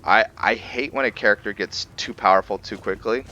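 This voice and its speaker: A man giving casual gameplay commentary for a roleplay let's play, thinking aloud in a halting way. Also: fairly low pitch, highs cut off, background noise.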